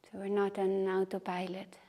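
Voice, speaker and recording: A woman teaching meditation and gentle movement, guiding in short, unhurried phrases with pauses. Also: medium pitch, monotone. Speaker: taiji and qigong meditation teacher. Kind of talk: guided meditation and movement instruction. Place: retreat session, live group class.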